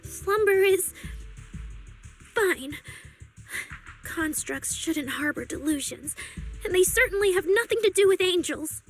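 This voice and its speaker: A sad android girl from a game, high fragile voice, really slow and hesitant, full of doubt. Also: lively intonation, faint music behind, exaggerated voice. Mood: resigned. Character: forlorn, self-doubting young female android idol. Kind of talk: dramatic video game voice acting.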